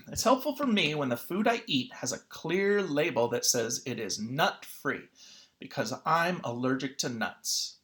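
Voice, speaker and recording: Very animated children's story reader with a low-pitched voice. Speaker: teacher reading a picture book aloud. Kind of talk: children's read-aloud narration.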